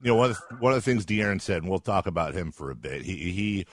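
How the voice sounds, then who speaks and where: A man with a bassy voice hosting a casual sports talk interview, chatty, informal and digressive. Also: expressive, fast, no echo, studio quality. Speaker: radio show host, interviewer.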